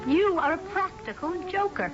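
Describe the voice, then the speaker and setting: An actress playing an imperious young British fiancée in a radio drama, clipped and theatrical. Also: female voice, extremely high pitch, animated, normal speed, over music. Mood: icily displeased. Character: haughty, imperious young English fiancée, exasperated by ghosts. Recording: dull vintage radio drama recording.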